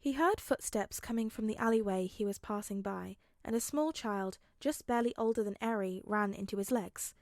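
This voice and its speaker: A woman's voice: mid-range voice, normal speed, hardly any pauses, a little mellow, no room sound, clean studio sound. A woman reading a story aloud as scripted audiobook narration. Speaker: audiobook reader.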